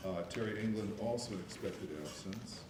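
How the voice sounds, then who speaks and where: A man chairing a board meeting, measured and hesitant, with many fillers, recorded from afar in an echoey room with noise. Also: fairly low pitch. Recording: echoey room, mic from far off, background noise.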